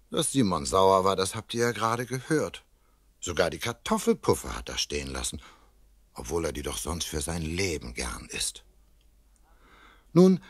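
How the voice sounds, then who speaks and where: A man narrating a children's story in a chatty way, addressing young listeners directly, with a husky voice. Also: low-pitched, fairly bright tone, no room sound, studio quality, full character voice.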